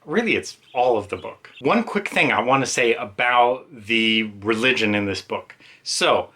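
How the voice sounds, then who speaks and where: A man's voice: medium pitch, lively intonation, a little slow, pushed and bright, breathy edge. A man giving an informal, rambling, self-aware book review as a reviewer talking casually on video.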